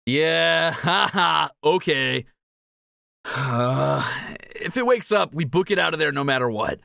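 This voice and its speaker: A cocky young fantasy thief, acted by a man, swinging animatedly from bragging to jitters. His voice is bright and raised, with some creak at the edges, recorded close and dry. He jokes and exclaims readily, in audio roleplay style. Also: fairly high pitch, dry recording, exaggerated voice. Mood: playful, resolved. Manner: joking, animated, quick to exclaim.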